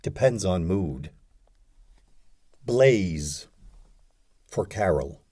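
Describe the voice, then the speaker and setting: A man reading poetry aloud as an audiobook, with deliberate, dramatic phrasing and frequent pauses. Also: deep voice, expressive, mellow. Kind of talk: expressive poetry recitation.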